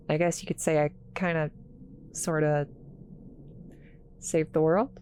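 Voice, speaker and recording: A woman playing an awkward, kind scientist in a roleplay audio drama, halting and apologetic, stammering as she talks to the listener. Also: low-pitched, darkish tone, slight background noise. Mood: touched, modest.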